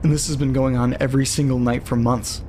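Faint noise sits behind an uneasy, lonely man played by an actor in an audio drama. He reads eerie letters aloud as a monologue, in a middle-pitched voice. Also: level tone, quick pace, warm tone, close to an everyday voice. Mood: bewildered, troubled. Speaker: narrator.

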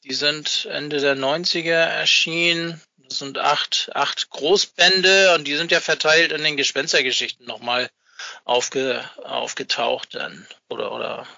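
Halting middle-aged man, very bright voice. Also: fairly high pitch, fairly even intonation, vocal fry, dry recording, highs cut off. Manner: halting, hesitant, searching for words. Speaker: interview guest, fan magazine maker. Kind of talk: casual interview chat.

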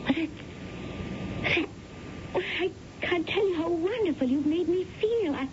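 Effusive widow, high-pitched, theatrical and eager; 1970s radio play, dull noisy recording. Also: female voice, expressive, a little slow. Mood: gushing, delighted. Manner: gushing, breathy exclamations, theatrical. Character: effusive widowed female client, flattering and eager. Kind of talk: 1970s radio drama acting.

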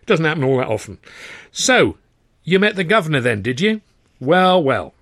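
Man acting a harassed, sharp-tongued, higher-voiced middle-aged boss. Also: sing-song, moderate pace, no room sound, studio quality, full character voice. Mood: sarcastic. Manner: brusque, hectoring, sarcastic. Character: harassed, irritable, sarcastic middle-aged office manager, male. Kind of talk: audiobook character voice.

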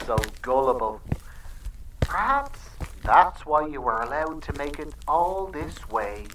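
A man's voice: a little high, a bit bright, distant mic, slight background noise, full character voice, rough recording. An actor playing a sinister, contemptuous male cult villain in a horror audio drama, with a mocking, menacing manner.

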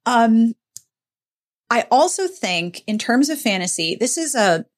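A woman's voice: a little high, sing-song, measured pace. A woman hosting a podcast, chatting casually and playfully about books, with an emphatic, slangy way of talking. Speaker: podcast host. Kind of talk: casual chat about book recommendations.

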